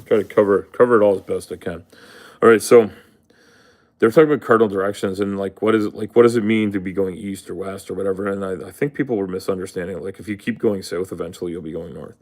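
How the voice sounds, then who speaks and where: Brief: a man, a bit low voice, casual live stream host, rambling and profane. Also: steady tone, fast talker, a little mellow. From Canada. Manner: rambling, profane, full of fillers. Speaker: livestream host. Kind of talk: casual livestream rant.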